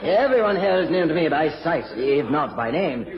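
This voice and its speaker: Stuffy, flustered male auctioneer for period radio drama; higher-pitched, formal, hesitant, echoey room with crowd. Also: very animated, moderate pace. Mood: courteous, awkward. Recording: muffled, echoey old radio, crowd behind.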